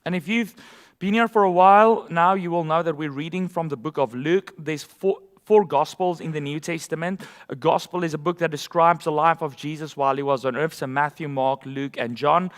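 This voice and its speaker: Pastor with a high voice. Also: male voice, moderate pace, big-room sound. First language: Afrikaans. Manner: conversational storytelling with asides. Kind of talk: sermon.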